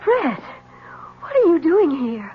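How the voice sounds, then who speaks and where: An actress playing a troubled, guarded wife in a 1970s radio drama, pleading and evasive in a formal way. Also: female voice, high voice, sing-song, slow pace, exaggerated voice. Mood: uneasy, guarded. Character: guarded, troubled young wife warning a man away. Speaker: actor. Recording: dull, hissy old radio recording.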